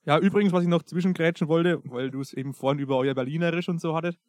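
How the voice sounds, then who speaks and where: A man's voice: a little high, rapid-fire, a little mellow, no echo. Franconian man with a dry close sound. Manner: dialect-heavy, laughing, jokey. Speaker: sheep keeper, podcast guest. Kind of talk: casual chat. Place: sheep barn.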